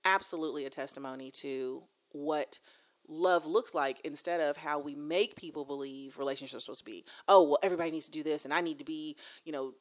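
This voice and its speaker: A woman's voice: deep voice, fast. A woman hosting a casual conversation, speaking emphatically and building points through repeated phrases. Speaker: interview host.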